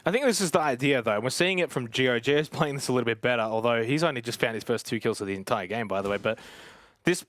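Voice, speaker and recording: A man's voice: medium pitch, fast, a bit bright, dry recording, clean studio sound. A man commentating on an esports match in a conversational, explanatory way. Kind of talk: analytical live match commentary.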